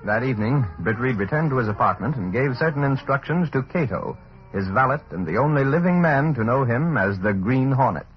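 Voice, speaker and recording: A man's voice: medium pitch, lively intonation, brisk. A man delivering scripted narration as the narrator of a 1940s radio drama. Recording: dull old radio recording. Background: music.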